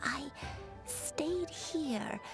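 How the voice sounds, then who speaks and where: A woman voicing a gentle, frail old granny in a video game, hesitant and wistful as she speaks. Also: sits high, expressive, drawn-out words, heavily acted. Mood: sad, halting. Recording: music behind the voice.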